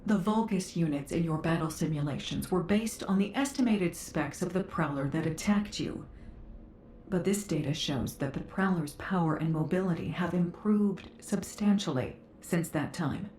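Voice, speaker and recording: A woman playing a composed, earnest researcher in a video game, with a measured and formal delivery. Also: lowish voice, a little mellow, roomy sound, a little hiss or hum, lightly acted. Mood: matter-of-fact. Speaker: voice actor. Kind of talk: dramatic game dialogue.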